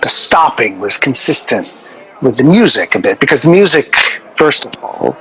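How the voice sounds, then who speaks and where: Self-absorbed male professor, forceful bright tone, lively intonation, hesitant, digressive, radio drama interview. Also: mid-range voice, moderate pace, soft background music, poor audio. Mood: defensive, pedantic. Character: rambling, self-absorbed male academic record-label curator.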